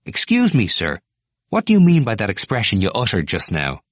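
A man voicing an excitable young male student with a bleating, indistinct voice. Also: mid-range voice, fast talker, slightly rough, dry recording, clean studio sound, voice pushed up. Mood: excited.

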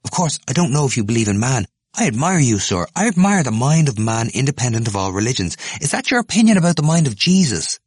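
A man voicing an excitable young male student with a bleating, indistinct voice. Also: medium pitch, quick pace, hardly any pauses, husky, dry recording, clean studio sound, pitched above the performer's own voice. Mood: excited.

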